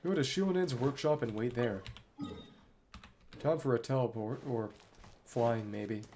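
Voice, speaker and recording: A man's voice: medium pitch, measured pace, a little mellow. A man doing casual game playthrough commentary, reading dialogue aloud and muttering asides to himself, with game sound behind him. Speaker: video game streamer. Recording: clear background game noise.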